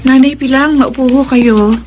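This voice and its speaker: A woman's voice: high-pitched, fairly even intonation, normal speed, loud music behind the voice, lightly acted. A woman playing a troubled, suspicious wife and mother in a radio drama, with a Filipino accent. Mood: polite, resigned.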